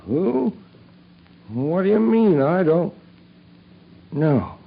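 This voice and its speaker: A drunken, cowardly man in a radio play, his gravelly voice high and swooping as he drawls each word slowly. The recording is muffled and old, with faint hiss. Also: very animated, full character voice. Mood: terrified. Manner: slurred, frightened pleading. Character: drunken, cowardly man being hunted for revenge.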